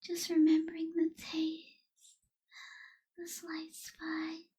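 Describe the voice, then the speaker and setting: A woman acts out a gentle, sorrowful young female vampire for an ASMR roleplay. The voice is very high and soft, slipping often into a breathy whisper. The delivery is slow and very even, with wistful, formal wording. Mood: wistful, nostalgic.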